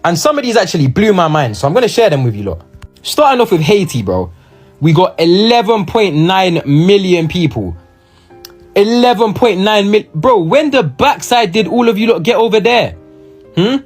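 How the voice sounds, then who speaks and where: Slangy lad, husky and lively. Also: male voice, high-pitched, moderate pace, soft background music. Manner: chatty, incredulous, slangy. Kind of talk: casual chat to camera.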